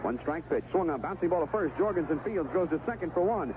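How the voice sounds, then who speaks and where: Animated American baseball announcer, tinny old radio. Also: male voice, fairly high pitch, quick pace. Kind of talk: live radio sports commentary. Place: stadium broadcast position. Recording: noisy, tinny 1970s AM radio broadcast.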